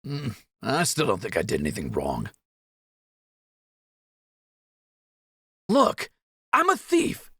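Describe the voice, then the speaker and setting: A man's voice: mid-range voice, huge pitch swings, normal speed, pushed and bright, husky, no room sound, clean studio sound, full character voice. A man playing a cocky, greedy thief in a fantasy audio roleplay, teasing and sarcastic with swaggering banter. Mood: smug, sarcastic.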